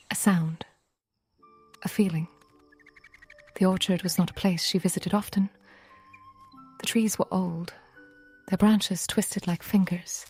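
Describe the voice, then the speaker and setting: Slow, hushed woman narrating a bedtime story. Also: fairly low pitch. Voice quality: soft, breathy, often near a whisper. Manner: gentle, hushed, unhurried with long pauses.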